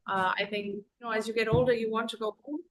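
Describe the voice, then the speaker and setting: A woman from Zambia, a podcast guest, telling her story in a rambling, conversational way with many pauses over a video call. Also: fairly high pitch, fairly even intonation, low-quality recording.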